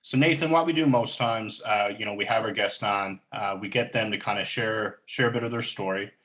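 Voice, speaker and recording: A man's voice: on the low side, a little fast, a bit bright, poor audio. A man from Newfoundland hosting a recovery podcast, chatting in an easygoing way. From Corner Brook, Newfoundland. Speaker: podcast host. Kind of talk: casual podcast chat.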